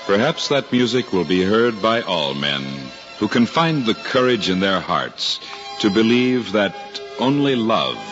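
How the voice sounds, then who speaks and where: An actor playing a solemn, hopeful man in 1950s radio drama, speaking in a measured way. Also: lowish voice, very animated. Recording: muffled old radio recording, hall reverb, music.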